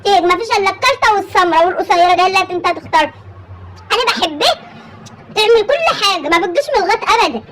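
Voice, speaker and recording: A woman's voice: extremely high pitch, expressive, racing, heavy background noise. A woman with an Egyptian accent playing a pushy rural mother, coaxing and insisting as she arranges her grown son's marriage over a one-sided phone call, calling him "my son" again and again. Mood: insistent. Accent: Egyptian Arabic.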